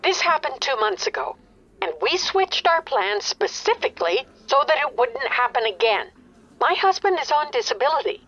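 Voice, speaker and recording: A woman's voice: sits high, big pitch swings, moderate pace, fairly bright tone, full character voice. An actress playing an older, demanding mother complaining over the phone in an indignant, pressing way, in an audio drama. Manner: indignant, self-righteous, pressing. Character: anxious, demanding mother angry over her phone bill. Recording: muffled phone-line sound.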